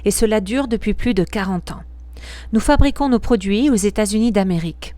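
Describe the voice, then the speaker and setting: A woman reading a scripted promotional product narration in a measured, articulate and informative way. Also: mid-range voice, dark tone, no echo.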